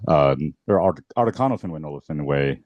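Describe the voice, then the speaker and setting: A man chatting as a guest on a live podcast over a call, relaxed and halting, laughing often. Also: extremely low pitch, no room sound, highs cut off. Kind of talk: casual chat.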